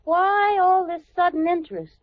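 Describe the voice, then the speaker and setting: A woman's voice: very high voice, moderate pace. A woman playing a dieting wife in an old radio sitcom, with sarcastic, exaggerated comic delivery, dramatic and indignant. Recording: muffled, noisy old radio recording.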